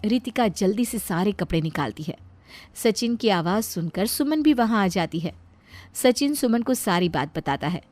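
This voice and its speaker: A woman's voice: medium pitch, expressive, normal speed, darkish tone. A woman narrating a scripted story as a storyteller.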